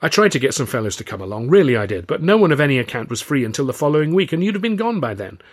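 A man's voice: medium pitch, very animated, racing, pitched above the performer's own voice. A man voicing a polite, self-important English comedian who is condescending and evasive, in an audiobook.

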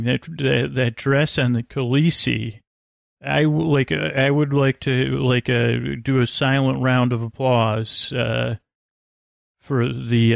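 A man's voice: medium pitch, creaky, no echo, clean studio sound. A man hosting a bedtime podcast in a meandering, stammering monologue, with a mumbling, mush-mouthed delivery. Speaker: podcast host. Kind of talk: rambling, tangent-filled bedtime podcast monologue.

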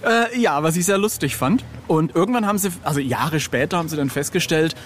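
A man's voice: fairly high pitch, lively intonation, fairly quick, slight background noise. A middle-aged man chatting casually as an interview guest, telling anecdotes in a jokey, self-deprecating way.

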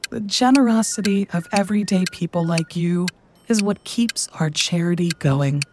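A woman's voice: fairly low pitch, moderate pace, background noise, natural voice. A woman playing a polished telethon cohost. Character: smooth female telethon cohost.

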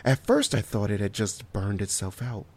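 A man's voice: fairly low pitch, moderate pace. An actor playing a haunted survivor in a horror audio drama, recounting his ordeal in a hesitant, solemn and descriptive way. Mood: haunted awe. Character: haunted male survivor recounting a harrowing night.

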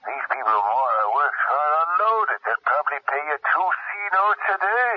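A man's voice: fairly high pitch, sing-song, moderate pace, breathy edge. A man playing a well-meaning father and old pal in a 1970s radio drama, colloquial and a little defensive. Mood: defensive, hurt. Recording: dull narrow radio recording.

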